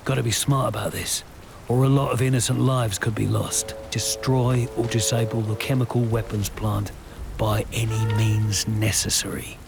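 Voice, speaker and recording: An actor playing a gritty wartime operative, muttering tersely and wryly to himself in a gravelly voice. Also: male voice, lowish voice, steady tone, normal speed, over music. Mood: pensive, determined. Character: gritty, determined British special operative in wartime.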